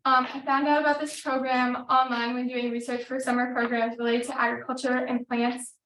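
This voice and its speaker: A woman's voice: high-pitched, little pitch movement, brisk, a bit bright. A teenage girl from Pennsylvania introducing herself as a student, hesitant with many ums. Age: teens. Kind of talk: self-introduction. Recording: echoey room, distant mic, faint noise.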